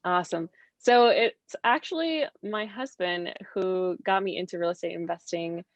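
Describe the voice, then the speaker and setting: A woman's voice: lowish voice, moderate pace. A woman from Mexico and California chatting casually as an interview guest, telling stories in an informal, talkative way. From Mexico, later California. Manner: chatty, informal storytelling with quoted asides. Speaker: interview guest, real estate investor. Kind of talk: casual video interview chat. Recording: roomy, dull lo-fi call audio.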